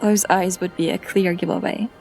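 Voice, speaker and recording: A woman's voice: lowish voice, steady tone, moderate pace, darkish tone, natural voice. A woman playing Lyra, a young female cat who is stubborn and guilt-ridden, speaking in a hesitant, apologetic and defensive way. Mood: uneasy. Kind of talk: audio drama acting. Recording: music underneath.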